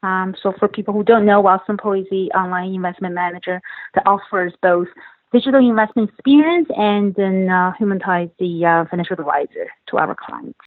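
A woman's voice: medium pitch, brisk, no echo. A woman from northeast China, an English speaker, answering interview questions in a hesitant way with many fillers and restarts. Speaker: guest, company finance chief. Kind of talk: podcast interview, casual chat. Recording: dry tinny phone-like line.

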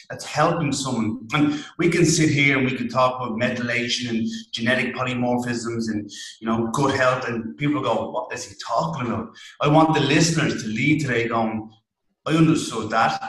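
A man's voice: medium pitch, fairly bright tone, reverberant, poor audio. A man with an Irish accent, a fitness and nutrition coach, explaining his work plainly as a podcast guest from his clinic. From Ireland. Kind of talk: casual interview talk.

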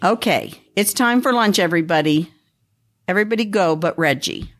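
Woman as a lively, strict classroom teacher. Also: lowish voice, moderate pace, natural voice. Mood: brisk, strict. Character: brisk classroom math teacher, strict and attentive. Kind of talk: amateur school radio theater.

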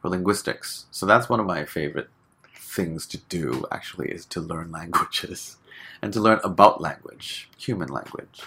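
A man from Hong Kong, a guest chatting casually in an interview, relaxed and full of fillers, laughing often. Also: sits low, moderate pace, slight background noise.

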